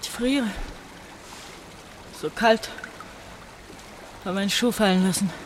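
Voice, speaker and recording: A woman's voice: mid-range voice, slow pace, close to an everyday voice. Casting: a woman to play an exhausted, emotional girl on the run in a German radio play, faint noisy water behind. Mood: exhausted, despairing. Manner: emotional, reproachful, dramatic. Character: desperate, bitter, exhausted young woman fleeing underground. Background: running, dripping water.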